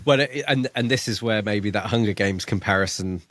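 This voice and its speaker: A man co-hosting a podcast, talking casually about a book in a measured way, often restarting as he finds his point. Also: on the low side, fairly bright tone, dry recording. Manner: measured, restarts as he gathers thoughts. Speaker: podcast co-host.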